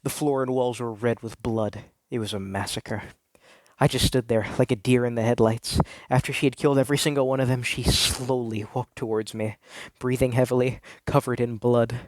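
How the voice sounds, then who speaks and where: A man's voice: mid-range voice, steady tone, normal speed, darkish tone. A man reading a first-person story aloud in a theatrical, earnest, confessional way.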